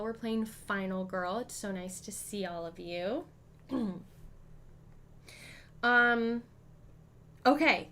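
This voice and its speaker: High-voiced woman streamer thinking aloud. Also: fairly bright tone. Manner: chatty, thinks aloud, frequent ums and throat clearing. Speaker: streamer playing a board game. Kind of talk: casual live-stream play-through.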